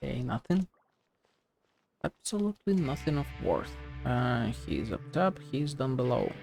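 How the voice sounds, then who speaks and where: A man's voice: mid-range voice, sing-song, a little slow, vocal fry, a little mellow. A man giving casual, blunt gameplay commentary, muttering to himself, swearing and reading game text aloud. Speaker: game streamer. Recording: game music behind the voice.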